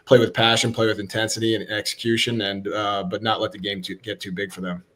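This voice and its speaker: A man with an American accent, a high school football coach giving reflective, halting answers in a sports interview. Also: level tone, pushed and bright. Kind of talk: sports talk show interview.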